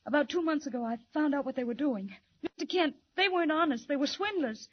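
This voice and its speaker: A woman's voice: high voice, fast talker, lightly acted. An actress playing a frightened but spirited young secretary in a 1940s radio drama, telling her story urgently. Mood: anxious. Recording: dull old radio transcription.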